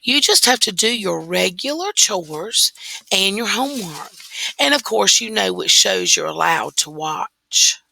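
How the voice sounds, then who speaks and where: A woman voicing a weary, firm father in a storybook, speaking deliberately and with emphasis. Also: medium pitch, big pitch swings, creaky, pushed and bright, no echo, heavily acted, rough recording.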